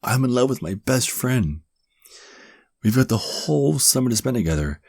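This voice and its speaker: A young man acting a shy, flustered guy in love with his best friend in an ASMR roleplay, speaking softly and hesitantly with stammers. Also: medium pitch, big pitch swings, moderate pace. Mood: happy, tender. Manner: hesitant, stammering, breathy sighs and chuckles. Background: crickets chirping.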